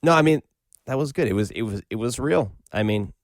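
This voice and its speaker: A man's voice: mid-range voice, lively intonation, fairly quick. A man hosting a podcast, talking in an informal, conversational way. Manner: informal, appreciative, says "man" and "dude" often. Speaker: podcast host. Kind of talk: casual chat and informal host outro.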